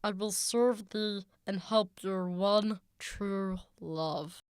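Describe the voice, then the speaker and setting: A faithful gnome rogue from a fantasy audiobook, played by a woman. The delivery is hushed, solemn and old-fashioned, with a smooth tone. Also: mid-range voice, very slow, no echo, clean studio sound. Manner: archaic, formal, hushed.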